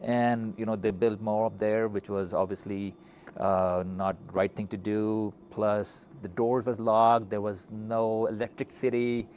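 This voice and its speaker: A middle-aged man with a Pakistani accent, interviewing and telling stories in a conversational, animated way. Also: on the low side, measured pace. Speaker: interviewer, physician hosting a talk. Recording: noticeable steady background noise.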